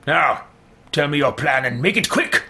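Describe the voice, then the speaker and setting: A man acting boastful male mage, impatient, pompous, bright forceful voice, highly animated, game roleplay. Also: normal speed, gravelly, full character voice. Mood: imperious. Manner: pompous, theatrical, declamatory. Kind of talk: roleplayed game character dialogue.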